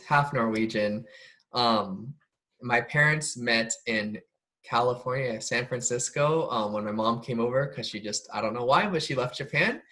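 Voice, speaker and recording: A young man from Oregon hosts a laid-back student podcast. He talks in an easy, chatty way, stopping often on "um" as he thinks. His voice sits at a middle pitch. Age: young adult. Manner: relaxed, chatty, frequent "um" fillers. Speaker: podcast host, club president. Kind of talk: casual podcast chat.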